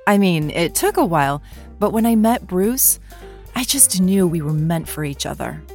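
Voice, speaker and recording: A woman's voice: on the low side, animated, normal speed, darkish tone. A woman playing a cheerful, affectionate wife in an audio drama. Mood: tender. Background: music.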